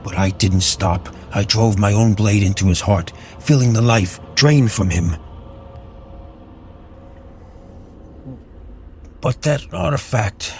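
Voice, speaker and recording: A man's voice: on the low side, unhurried, a little mellow, slight background noise. A man playing a haunted, battle-hardened army captain, narrating occult horrors in a brooding, theatrical way with clipped dramatic phrases in an audio drama. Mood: violent, intense. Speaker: voice actor.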